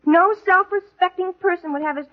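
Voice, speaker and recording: Jealous teenage girl, very high voice, huffy, accusing, 1940s radio comedy, tinny sound. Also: a little fast. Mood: haughty, offended. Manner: indignant, accusing. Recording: tinny, thin vintage radio recording.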